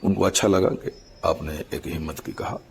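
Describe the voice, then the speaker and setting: Very gravelly, low-voiced man. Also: fairly even intonation, a little hiss or hum. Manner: formal, measured, halting in short phrases. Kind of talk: explanatory public statement.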